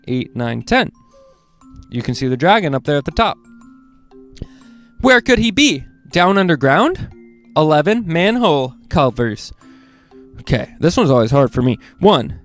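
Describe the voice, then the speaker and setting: Animated man reading to kids, high-pitched. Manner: animated, speaks directly to young listeners. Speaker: host of children's read-along show. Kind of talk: reading picture books aloud to children.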